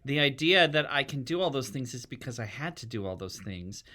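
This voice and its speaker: Male, middle-aged, very bright and tense tone, medium pitch, casual interview guest. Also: animated, fairly quick. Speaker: guest, theatre artist. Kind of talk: casual podcast chat.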